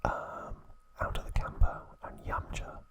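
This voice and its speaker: Whispering man, soft ASMR narration. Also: a little slow. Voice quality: breathy, unvoiced whisper. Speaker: ASMR host.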